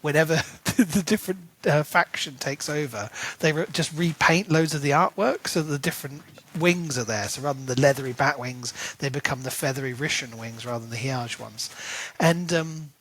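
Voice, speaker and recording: A man's voice: on the high side, moderate pace, fairly bright tone, a little hiss or hum. A man co-hosting a podcast, talking through a book in a chatty, rambling way full of "like" and "you know". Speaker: podcast co-host. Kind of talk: casual book discussion.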